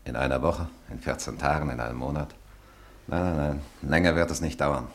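An actor playing a loyal French friend who gently comforts a refugee couple in a radio drama. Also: male voice, a bass voice, moderate pace, gravelly, heavily acted. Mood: grave. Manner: gentle, consoling, hushed. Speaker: family friend. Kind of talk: radio play acting.

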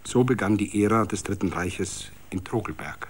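A man's voice: fairly low pitch, moderate pace, slightly rough. A man with a Bavarian accent reads a literary story as dramatic scripted narration.

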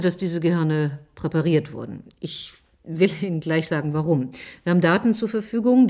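Formal, insistent female scientist in a radio play; low-pitched, methodical delivery. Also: darkish tone. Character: assertive, methodical female scientist presenting her theory. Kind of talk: German radio drama acting.

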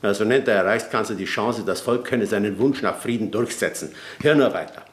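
Cynical male friend, played by a man, dry and laconic, mid pitch, roomy sound. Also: fairly quick, natural voice. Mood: sardonic. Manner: dry, laconic, reads a letter aloud. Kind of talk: 1950s German radio play acting.

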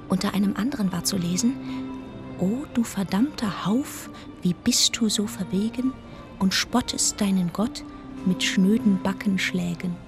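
Observant storyteller, medium-pitched voice, measured and wry, scripted radio play narration, music behind. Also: female voice. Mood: amused recollection. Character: observant, gently wry woman narrator recalling travels. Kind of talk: scripted first-person narration.

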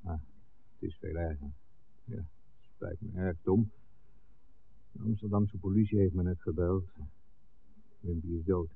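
A man's voice: sits low, steady tone, measured pace, gravelly, pauses often, a little hiss or hum. An actor playing a grave, earnest village man who speaks hesitantly and respectfully in a 1960s radio drama. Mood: grave, reluctant. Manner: hesitant, addresses the priest respectfully. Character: grave village man bearing bad news, later indignant. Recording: thin phone-like 1960s radio recording.

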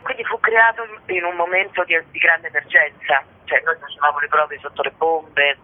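A woman's voice: low-pitched, steady tone, normal speed. Thin telephone audio, woman from Italy, actor-director, interview guest, emphatic, discursive. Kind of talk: conversational radio interview. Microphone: phone call to a radio show. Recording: narrow, tinny phone line.

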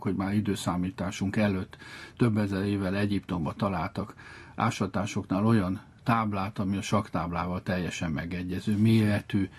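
A man giving measured, formal explanations in an interview, in long wordy sentences. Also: lowish voice, a little mellow, breathy edge. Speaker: interviewed chess organiser.

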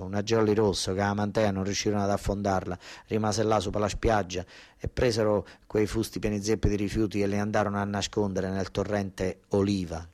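An actor playing a desperate working-class southern Italian son and ex-soldier, in a colloquial, emphatic theatrical monologue with a southern Italian accent. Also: male voice, lowish voice, a little mellow, lightly acted.

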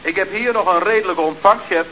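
A man's voice: sits high, brisk, pushed and bright. An actor playing a chatty, slangy youth-club leader who reports deferentially and glibly to his boss in a radio comedy. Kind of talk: Dutch radio comedy acting. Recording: dull, noisy old radio recording.

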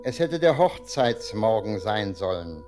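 An actor playing a solemn storyteller, narrating a 1940s radio drama in a measured, dramatic manner. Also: male voice, fairly low pitch, big pitch swings. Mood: solemn foreboding. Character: solemn storyteller announcing a fateful scene. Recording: music prominent behind voice. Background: music.

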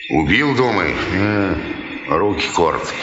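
Bitter, slow, low-voiced tramp. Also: male voice, expressive. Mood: anguished, bitter. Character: bitter, lovelorn male tramp brooding over women's deceit. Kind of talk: theatrical Russian radio drama acting. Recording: dull, noisy, echoey radio play recording.

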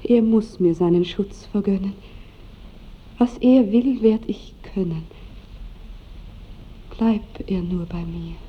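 An actress playing an innocent, trusting young bride in a 1960s radio drama, with breathless, pleading, lyrical delivery. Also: female voice, medium pitch, slow, long pauses, lightly acted. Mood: rapturous. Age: young adult. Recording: dull old radio recording, faint hiss.

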